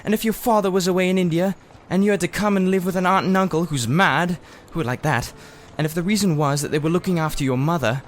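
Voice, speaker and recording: Man doing an upset young boy, blurting, raised pitch. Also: slight background noise, close to an everyday voice. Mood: upset, tearful. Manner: breathless, rushed, blurting complaints. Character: miserable, wound-up young boy, blunt and defiant.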